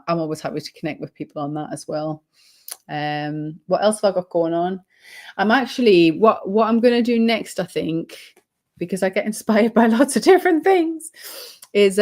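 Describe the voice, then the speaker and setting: British woman guest, a touch hoarse, lower voice, rambling and reflective. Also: darkish tone. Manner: reflective and rambling, many ums and restarts. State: slightly hoarse, losing her voice. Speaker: guest, holistic healing coach. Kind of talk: casual interview chat.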